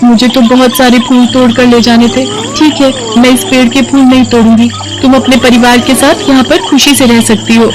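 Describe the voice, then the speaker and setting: A woman voicing a gentle, polite and earnest poor young girl in a storybook tale. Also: a little high, little pitch movement, moderate pace, few pauses, music in the background, voice pushed up, close to an everyday voice. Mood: kind. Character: gentle, timid, kind poor young flower-seller girl. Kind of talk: Hindi moral storybook acting.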